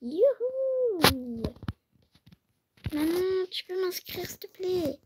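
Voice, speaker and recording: A young woman playing an eager little girl who loves basketball, excited and pleading with her mother. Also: extremely high pitch, drawn-out words, heavy background noise, voice pushed up, exaggerated voice. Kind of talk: improvised role-play skit.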